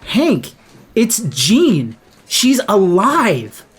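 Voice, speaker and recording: A man's voice: high-pitched, huge pitch swings, very slow, breathy edge, full character voice. A man playing a flippant young superhero in an audio drama, slangy, sarcastic and blurting. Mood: shocked, insistent. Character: Bobby, flippant young male superhero, casual and indignant.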